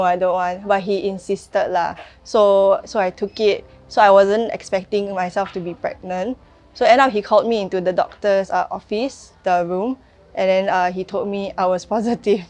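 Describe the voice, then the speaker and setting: A woman's voice: medium pitch, darkish tone. A Singaporean woman in her early twenties telling her story in a chatty way, full of "like" fillers. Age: 20s. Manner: chatty, frequent "like" and "lah" fillers. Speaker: young mother sharing her story. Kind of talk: personal testimony.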